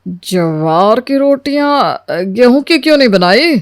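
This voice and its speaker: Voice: medium pitch, sing-song, measured pace. A storyteller voicing a grumbling adult man of the household who objects and insists on his habits. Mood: displeased, insistent. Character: grumbling adult brother-in-law attached to his usual food.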